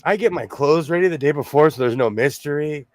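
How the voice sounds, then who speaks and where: A man in his forties hosting a casual sewing livestream, hoarse and losing his voice, joking and laughing often as he reads the chat. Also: a little high, brisk, a little mellow, no room sound, clean studio sound.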